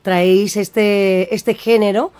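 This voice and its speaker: A woman's voice: mid-range voice, fairly even intonation, takes it slowly, warm tone, smooth. A woman hosting a radio interview, attentive, with frequent short acknowledgments. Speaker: radio host. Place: radio studio.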